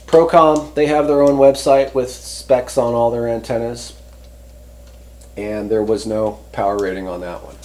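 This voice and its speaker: A man presenting a product review in casual, unscripted talk, rambling conversationally and often pausing to rephrase himself. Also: mid-range voice, fairly even intonation, a little mellow. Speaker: host reviewing CB radio antennas.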